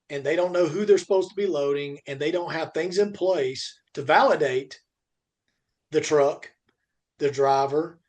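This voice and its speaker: A man's voice: on the high side, a little mellow. A man in a casual industry discussion as podcast co-host, talking in a rambling way and repeating points for emphasis.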